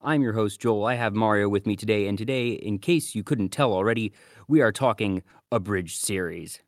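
A man's voice: lowish voice, normal speed, dry recording, studio quality. A man hosting a podcast, talking casually and giving his commentary on anime. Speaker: podcast host.

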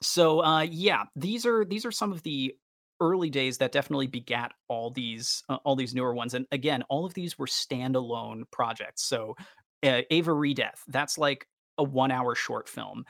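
A man talks casually as a podcast co-host, with noticeable vocal fry in a mid-pitched voice. He is enthusiastic but halting as he works through his thoughts. The sound is close and dry. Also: fairly bright tone, dry recording. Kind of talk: casual fan podcast discussion.

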